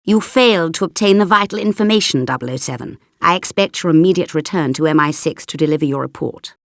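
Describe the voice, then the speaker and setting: A stern female spy chief for a game briefing. Her voice is low and creaky, formal and clipped, recorded close and dry with full authority. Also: fast talker, dry recording. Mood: displeased. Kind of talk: scripted video game mission briefing.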